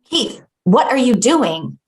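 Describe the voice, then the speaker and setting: A woman's voice: fairly high pitch, very animated, slow. A woman playing an exasperated but caring coworker in a comedy table read. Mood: concerned.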